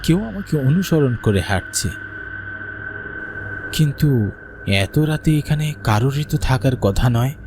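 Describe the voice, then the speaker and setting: A man's voice: medium pitch, lively intonation, unhurried, long pauses, background noise, lightly acted. An actor playing a frightened young man who tells his own horror tale in a suspenseful way, stammering when afraid. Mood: uneasy suspense. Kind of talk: dramatic first-person horror story narration.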